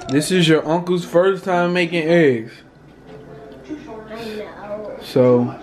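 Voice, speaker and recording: A man with an American accent chatting casually as an amateur home cook, muttering and repeating himself in bewilderment. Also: a little high. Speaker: amateur vlogger cooking at home. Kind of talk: casual vlog chat. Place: small home kitchen, indoors. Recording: kitchen clatter and sizzling noise.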